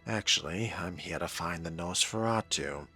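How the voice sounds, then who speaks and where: A man's voice: low-pitched, normal speed, bright, forceful tone, slightly rough, faint music behind. A man narrating a video game in character, with a dry, sardonic and wordy manner. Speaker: gamer role-playing his character.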